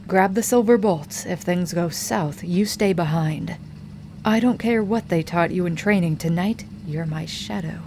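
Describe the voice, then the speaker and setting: A woman voicing a hardened female vampire hunter who bosses a rookie around in an audio roleplay, speaking in a low, commanding, teasing way that often sinks to a hush. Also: unhurried, a little hiss or hum. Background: faint ambient soundscape.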